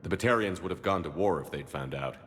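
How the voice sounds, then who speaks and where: A man playing a steady, reassuring military commander in a video game, calm and measured. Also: low voice, slight background noise. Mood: businesslike.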